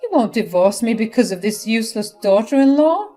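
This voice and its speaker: Woman putting on a shrill scheming mother-in-law, middle-aged, pleading, high and loud, storybook reading. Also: expressive, a little fast, soft background music, natural voice. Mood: desperate pleading.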